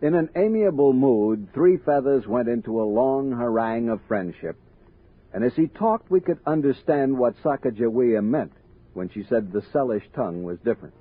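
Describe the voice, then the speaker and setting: A literate frontier captain, old radio sound. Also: male voice, mid-range voice, very animated, normal speed, slightly rough, narrow, tinny audio. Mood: reflective. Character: thoughtful expedition captain narrating his frontier journal. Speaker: narrator and actor. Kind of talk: 1960s radio drama narration. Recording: band-limited 1960s radio recording.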